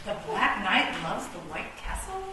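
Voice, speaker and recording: A woman's voice: medium pitch, sing-song, unhurried, pushed and bright, distant mic. Woman as an opinionated chess piece, very echoey. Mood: snippy. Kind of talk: comic radio play acting. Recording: echoey, noisy stage recording from afar.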